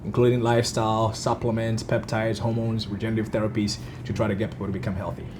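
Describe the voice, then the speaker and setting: A man's voice: on the low side, level tone, a little mellow, slight background noise. Earnest man from Ghana, physician guest. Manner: earnest, repeats "yes, yes" in quick agreement. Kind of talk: casual interview talk.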